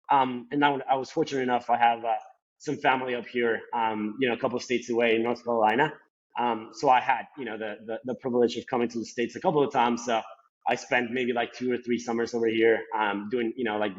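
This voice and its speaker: A young man from Colombia talks quickly, his Colombian accent showing through a native Spanish speaker's English. He rambles casually, stacking fillers, at a mid-range pitch. The recording sounds slightly dull and lo-fi. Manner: rambling, heavy on "like" and "you know" fillers. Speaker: podcast guest. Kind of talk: casual interview talk.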